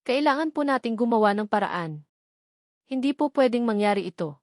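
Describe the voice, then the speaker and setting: A woman's voice: on the high side, expressive, normal speed, no echo, studio quality, natural voice. Woman voicing a jealous, desperate Filipino fiancée. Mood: desperate, pleading. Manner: agitated, pleading. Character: jealous, desperate young fiancée, emotional and demanding. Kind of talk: dramatized romance storytelling.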